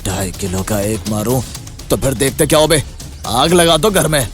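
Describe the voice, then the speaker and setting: A man's voice: mid-range voice, lively intonation, moderate pace, natural voice. A man voicing a menacing, bullying village landlord who speaks in threats and commands. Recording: clear background music under voice.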